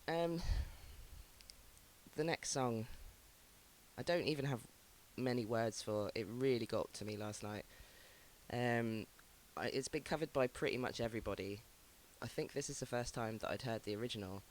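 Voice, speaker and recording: Man hosting, voice low from illness. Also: slight background noise. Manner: rambling, hesitant, frequent ums. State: ill, voice lowered by sickness. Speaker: music show host. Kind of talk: casual chat introducing songs.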